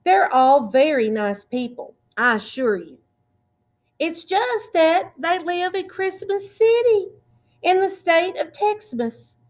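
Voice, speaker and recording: A woman reading a children's picture book aloud in a deliberate, expressive way, drawing out words. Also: very high-pitched. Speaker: children's storytime reader.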